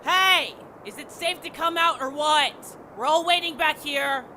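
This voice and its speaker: A woman's voice: sits high, a little slow, pushed and bright. A woman voicing a blunt, defiant young adventurer with a sarcastic manner in an audio drama. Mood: indignant. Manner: sarcastic, combative. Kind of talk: cartoonish audio drama acting. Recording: faint background noise.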